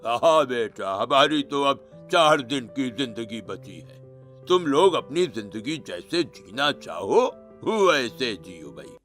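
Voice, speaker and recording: Kindly elderly grandfather, acted by a male narrator, lowered and drawn-out, approving, cartoon family drama. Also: sing-song, a bit bright, faint music behind. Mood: gentle, resigned. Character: frail, kindly old grandfather-in-law.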